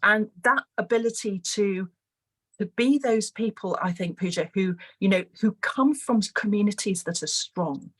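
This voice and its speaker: A woman from Malawi, a school head on a webinar panel, speaking earnestly and articulately with emphatic repetition in a husky voice. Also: mid-range voice, normal speed, low-quality recording. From grew up in Malawi as an expatriate. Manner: earnest, emphatic, articulate, repeats words for stress.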